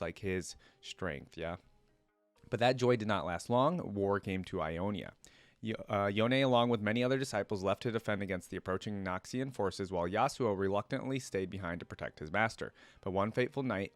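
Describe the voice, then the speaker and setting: Low-voiced man reacting casually, dry close sound. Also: no echo. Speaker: reaction video creator. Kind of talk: casual reaction commentary mixed with reading a story aloud.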